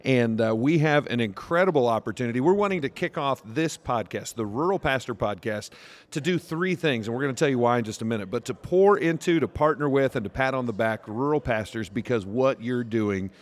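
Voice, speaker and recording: A man's voice: mid-range voice, expressive, a little fast. An American man speaking as a podcast host and pastor, bantering casually in front of a live audience.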